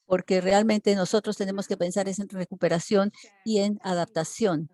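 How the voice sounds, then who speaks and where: A woman working as a simultaneous interpreter for an online seminar. She keeps a steady delivery, broken by frequent hesitation fillers. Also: mid-range voice, normal speed, no room sound. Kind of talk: live interpretation for a webinar.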